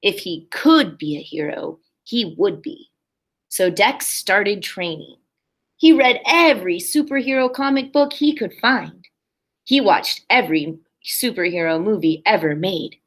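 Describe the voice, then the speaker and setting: A woman's voice: mid-range voice, expressive, measured pace. A woman kindergarten teacher reading a picture book aloud expressively for young children, with simple explanatory asides.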